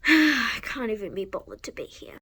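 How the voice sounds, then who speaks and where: Brief: sulky haughty horse, slow snooty drawl, as a woman puts it on. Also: fairly high pitch, big pitch swings, fairly bright tone, breathy edge, full character voice.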